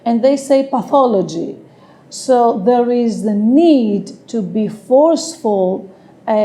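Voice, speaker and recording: A woman with a Greek accent giving a tarot reading, speaking hesitantly and searching for words with frequent pauses and fillers. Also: a little high, dark tone, rough recording. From Greece. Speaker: tarot reader. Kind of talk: slow, halting tarot reading with many fillers.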